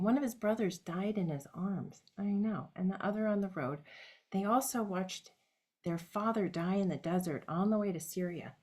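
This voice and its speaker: A woman performing a reflective autobiographical monologue on stage, speaking directly to her grandfather. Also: medium pitch.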